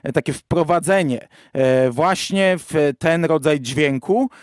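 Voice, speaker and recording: A man's voice: a little high, slow, no echo, clean studio sound. A man explaining things casually as a podcast host.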